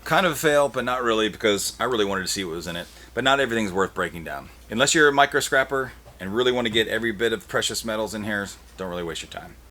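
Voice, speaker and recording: A man's voice: lowish voice, expressive, fast talker, hardly any pauses, sharp tone. A man hosting a hands-on teardown video, talking to the camera in a casual, plain-spoken, explanatory way. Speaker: host of an e-waste teardown video. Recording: clear background noise with handling clicks.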